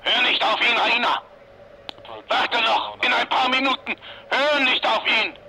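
An imperious father calling over a radio link in a thriller. His agitated voice is very high, piercingly sharp and creaky, through noise. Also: steady tone, measured pace, exaggerated voice. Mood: frantic commanding. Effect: radio transmission filter. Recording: crackly radio link, noisy.

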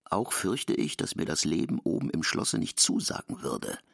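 A self-assured stranger in a radio drama, guarded and measured. His low voice is gravelly and husky, and he understates everything as he goes. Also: sing-song, heavily acted. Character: K., guarded, self-assured newly arrived land surveyor.

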